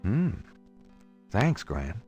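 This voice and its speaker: An easygoing adventure-game hero, a curious grown grandson. His low voice moves expressively as he probes casually, with faint music behind. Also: huge pitch swings, drawn-out words, warm tone, highs cut off, full character voice. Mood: probing. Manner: casual, probing questions. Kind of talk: voice acting in a 1990s adventure game.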